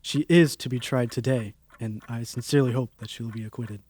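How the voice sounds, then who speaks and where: An actor playing a grieving, earnest younger brother in a radio play, formal and subdued. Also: male voice, medium pitch, steady tone, fairly quick, a little mellow, lightly acted. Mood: sad, earnest.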